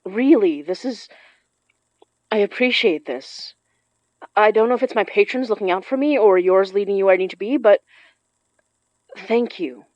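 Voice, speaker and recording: A woman's voice: medium pitch, normal speed, pauses often, a little mellow, dry recording, natural voice. A woman playing a determined, informal young spirit summoner in a horror audio drama, giving things a self-possessed, casual tone. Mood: grateful, eager. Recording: dry, slightly dull studio sound.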